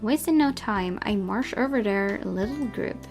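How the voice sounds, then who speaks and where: A woman with a medium-pitched voice narrates a visual novel, reading the text aloud. Music plays clearly behind her. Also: expressive, a little slow, a little mellow. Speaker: gaming channel host reading aloud.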